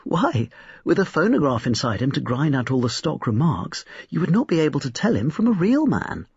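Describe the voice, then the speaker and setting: A man's voice: on the high side, very animated, normal speed, gravelly, a little mellow, husky, voice pushed up, full character voice. A man voicing critical, enthusiastic young society women in a storybook reading, in a gossipy, emphatic manner. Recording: roomy, slightly dull recording.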